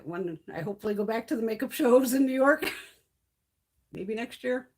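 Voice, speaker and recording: A woman's voice: on the high side, animated, moderate pace, a little mellow. A middle-aged woman from Connecticut hosting a beauty live stream, telling stories in a casual chat, swearing freely and laughing often, her voice starting to wear out. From Connecticut, USA.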